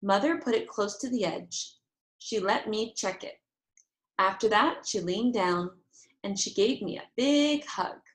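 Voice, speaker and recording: A woman's voice: medium pitch, a little slow. A woman teacher reading aloud to young children, explaining things in simple phrases. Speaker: teacher reading a chapter book aloud to pupils. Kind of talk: read-aloud storytelling for children.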